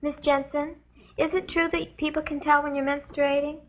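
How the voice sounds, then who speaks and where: A woman's voice: high voice, flat delivery, moderate pace, close to an everyday voice. A young female performer playing a polite, earnest schoolgirl with an American accent, asking her teacher careful questions in an old educational film. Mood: polite curiosity. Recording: muffled old film soundtrack.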